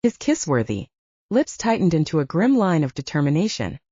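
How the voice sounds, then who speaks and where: A woman's voice: low-pitched, big pitch swings, moderate pace, dark tone, breathy edge, no echo, lo-fi sound. A woman narrating an audiobook, reading scripted romance fiction.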